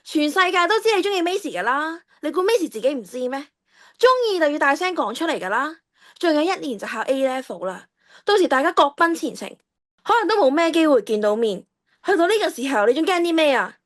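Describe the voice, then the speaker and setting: A woman's voice: high-pitched, very animated, fast talker, no room sound, studio quality. A woman playing a feisty, blunt teenage schoolgirl with a Hong Kong Cantonese accent in an audio drama. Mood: scolding. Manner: forthright, challenging.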